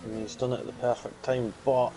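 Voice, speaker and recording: A man giving casual, chatty colour commentary on an online sim race, with excitable bursts at the incidents. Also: mid-range voice, a little fast, creaky, soft background music, rough recording. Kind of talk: sim racing color commentary.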